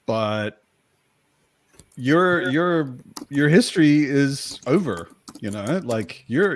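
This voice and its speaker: A man's voice: medium pitch, slight background noise. Man, mid-range voice, discussion host, casual conversational delivery.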